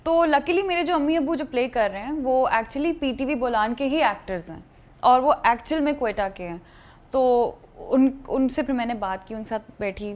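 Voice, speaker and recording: A woman's voice: fairly high pitch, a little mellow. A woman with a Pakistani accent, an actress being interviewed, chatting casually in a group.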